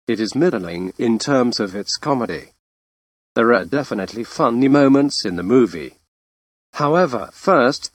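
A man narrates a film review from a script. His delivery is even and read-out, at a middle pitch. The sound is dry and close. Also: dry recording.

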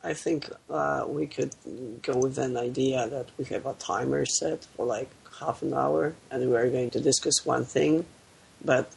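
A man who is ill, talking in a halting way as a podcast guest and searching for words. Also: medium pitch, fairly even intonation, creaky, darkish tone, husky, low-quality recording. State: ill, voice lower than usual. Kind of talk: casual podcast chat.

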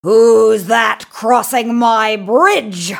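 A woman's voice: fairly high pitch, steady tone, drawn-out words, creaky, pitched above the performer's own voice, full character voice. A woman voicing a big, hairy, furious male troll in a children's story, roaring and chanting in a menacing sing-song.

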